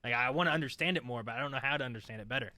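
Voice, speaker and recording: A man's voice: mid-range voice, rapid-fire, pushed and bright. A man co-hosting a podcast in a studio, musing aloud in casual chat with many restarts and fillers. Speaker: podcast co-host.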